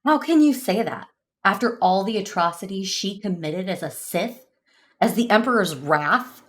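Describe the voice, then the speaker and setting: A woman playing a solemn, conflicted but resolute Jedi warrior in an audio drama, speaking in a measured, earnest way. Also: medium pitch, expressive, close to an everyday voice. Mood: angry, pleading. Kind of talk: first-person narration and dialogue in audio drama.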